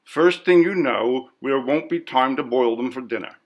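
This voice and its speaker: A man voicing a brisk, bossy, suspicious sister in a story, with a scolding, insistent manner. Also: mid-range voice, dull audio, pitched above the performer's own voice, natural voice. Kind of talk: audiobook character voice.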